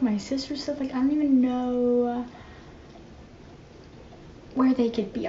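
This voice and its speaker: A young woman vlogging while she tidies her bedroom, chatty and rambling, mock-dramatic about small things. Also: high-pitched. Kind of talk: casual clean-with-me vlog chatter. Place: carpeted bedroom, indoors. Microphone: phone camera set across the room. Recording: echoey bedroom, dull phone audio with steady hiss.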